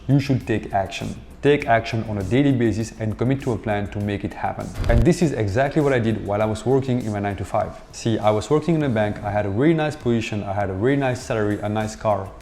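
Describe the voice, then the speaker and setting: A man's voice: on the low side, mellow, slight background noise. A man whose first languages are French and Hindi gives an earnest, coaching motivational talk in English. He speaks straight to his viewers and keeps calling them "guys". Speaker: entrepreneur and video host.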